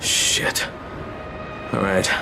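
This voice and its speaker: A man's voice: very slow, noisy background. An actor playing a defiant, desperate male agent in a video game, blunt and intense. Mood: grim resolve. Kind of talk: dramatic video game cutscene acting. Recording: loud music behind. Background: loud music.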